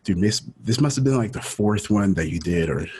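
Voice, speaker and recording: A man's voice: fairly low pitch, animated, a little fast, a little mellow, slightly rough. A man in his thirties, born in Ecuador and raised in Miami, talking through a personal story as an interview guest, casual and full of "dude" and "like.". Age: 30s. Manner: casual, says dude and like often.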